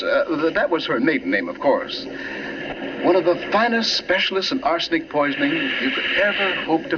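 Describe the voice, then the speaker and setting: An actor playing an eccentric learned doctor who savours grim tales theatrically, in a 1940s radio drama on a noisy, dull recording. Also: male voice, mid-range voice. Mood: musing relish.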